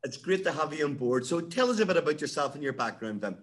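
A man's voice: fairly high pitch, sing-song, fast, fairly bright tone. A middle-aged man hosting an interview over a video call from an office, upbeat and jokey. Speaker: interview host. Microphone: laptop webcam on a video call.

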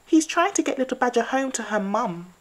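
A woman's voice: fairly high pitch, expressive, fairly quick, voice pushed up. A woman voicing a helpful, caring little squirrel in a children's story, chirpy and keen to speak up. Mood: eager, caring.

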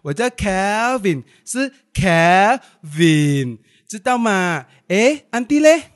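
A man's voice: high-pitched, big pitch swings, slow pace, full character voice. A man playing a camp, fussy young guy. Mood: mock pouty. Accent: Malaysian. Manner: effeminate, fussy.

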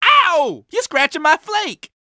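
A man's voice: very high-pitched, very animated, slow pace, pushed and bright, dry recording, studio quality, heavily acted. A man voicing a vain, cocky young street-racer car in a video game, talking in boastful slang with lots of exclamations. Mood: indignant, startled. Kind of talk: video game character barks.

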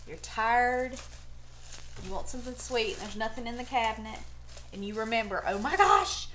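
A middle-aged woman talking in a casual, folksy way to a camera a step or two away in her home kitchen, as host of a cooking demonstration. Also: fairly high pitch. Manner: chatty, folksy, informal slangy phrasing. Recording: clearly noisy home recording with clicks.

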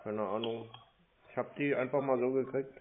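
A man's voice: medium pitch, fairly even intonation, moderate pace. Hesitant man on a noisy phone line. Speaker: prank scam-baiter playing a clueless customer. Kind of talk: casual phone call.

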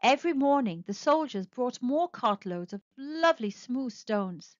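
A woman narrating a children's storybook aloud with expressive, animated storytelling. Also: high-pitched, a little slow, no echo. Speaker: storybook reader. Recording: dry, dull lo-fi sound.

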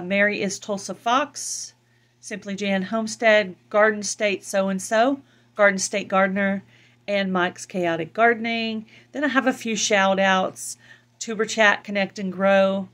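A woman's voice: mid-range voice, a bit bright. A woman hosting a video channel, talking casually and informally to her viewers. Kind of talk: casual vlog chat. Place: indoors, filming a laptop screen.

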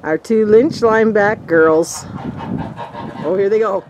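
A woman chats playfully about the animals in a mid-pitched voice, cheerful and easygoing. She is outdoors on a farm, picked up by a camera mic. Clear outdoor noise and animal sounds come through behind her. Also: slow pace, darkish tone. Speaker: homesteader co-host. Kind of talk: casual chat while filming. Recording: noisy outdoor camera audio.

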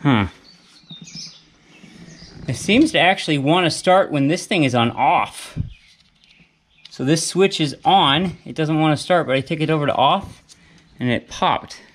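A man's voice: on the high side, lively intonation, a little slow, pauses often, breathy edge. A man in his thirties narrating casually to camera while working on an old machine in a barn, muttering to himself as he goes. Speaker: restoration vlogger. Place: open-sided metal barn.

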